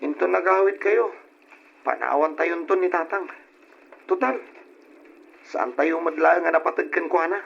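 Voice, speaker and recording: A muffled radio drama recording of a young son who feels hard done by. He sounds plaintive and dutiful, with a gravelly edge. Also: fairly high pitch, normal speed, pauses often, a bit bright, slight background noise. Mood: aggrieved, pleading. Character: put-upon, aggrieved young son. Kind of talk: old radio drama acting.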